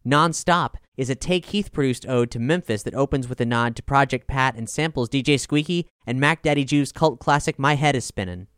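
A man reading a scripted music review in a measured, articulate, polished way. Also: medium pitch, a bit bright, clean tone, no echo, clean studio sound. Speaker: album review reader and podcast host.

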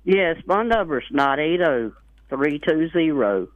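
A woman's voice: low voice, lively intonation, takes it slowly, no room sound. A woman phoning a radio show and plainly listing items for sale. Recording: dry, narrow telephone line on radio.

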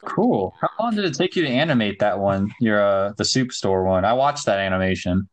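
A man's voice: fairly low pitch, expressive, fairly quick. A young man from Ohio hosting a casual podcast, easygoing and using slang. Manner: laid-back, casual slang. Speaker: podcast host. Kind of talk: casual chat.

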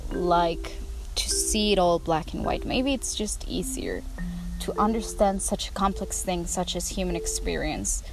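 A woman's voice: lowish voice, very animated, creaky, poor audio. Candid young woman over background music. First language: not English. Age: young adult. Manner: candid, thoughtful, unhurried. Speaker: podcast host. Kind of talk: personal reflective podcast monologue.

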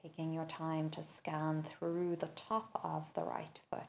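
A woman leading a guided body scan meditation, giving gentle, measured instructions with long pauses between phrases. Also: deep voice, monotone, creaky. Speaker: podcast host and meditation teacher.

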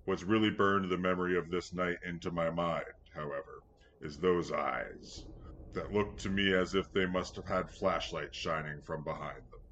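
A man reading a scripted first-person story in a measured, earnest manner. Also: deep voice, steady tone, fairly bright tone. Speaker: story reader. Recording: dull lo-fi sound, clear background noise.

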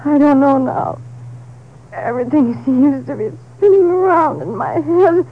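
High-pitched, fragile, distraught wife. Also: female voice, animated. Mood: distraught, confused. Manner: pleading, halting, emotional. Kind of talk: 1950s radio melodrama acting. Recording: dull old radio recording.

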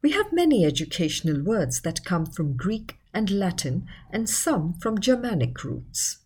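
A woman reading a scripted educational article in a measured, explanatory manner. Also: lowish voice, big pitch swings, a little mellow, husky. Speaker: article narrator.

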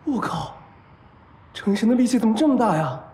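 High-pitched, excitable, flustered young guy. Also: fairly quick, slight background noise. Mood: panicked. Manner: stammering, whiny, exclamatory. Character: flustered, smitten, excitable young male patient. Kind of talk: audio drama acting. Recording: music behind.

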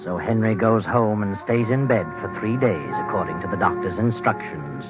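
Music plays behind a storyteller voiced by a man on a dull old radio recording. His voice is fairly low. He tells a suspense tale deliberately and dramatically. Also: lightly acted. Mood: suspenseful. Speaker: narrator. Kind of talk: scripted old-time radio narration.

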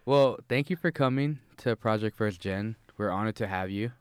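Man, podcast co-host, friendly, chatty, smooth low voice, dry sound. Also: normal speed, no room sound. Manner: friendly, chatty, hesitant with fillers. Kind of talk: casual podcast interview.

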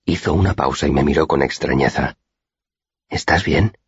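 A man's voice: rumbling low, fast talker, breathy edge, dry recording. A man reading a first-person literary story as an audiobook narrator, in a dull, muffled recording.

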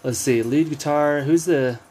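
A man's voice: on the high side, measured pace, a little mellow. A man hosting a music discussion in a casual, slangy chat style, sometimes mouthing instrument parts. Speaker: host of a music album discussion show. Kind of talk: casual chat, album commentary.

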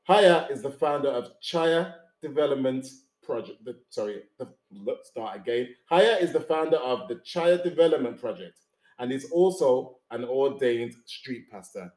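Hoarse host in an echoey room. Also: male voice, medium pitch, animated, moderate pace, darkish tone. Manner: jokey, chatty, stumbles while reading an introduction. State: hoarse, losing his voice. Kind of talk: casual podcast chat.

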